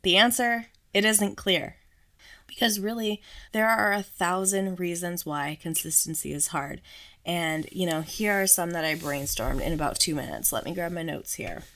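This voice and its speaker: Woman, low voice, slightly hoarse, sick, podcast host, casual chat, self-deprecating. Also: moderate pace, a bit bright. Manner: chatty, self-deprecating, laughs often. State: sick, voice a little off.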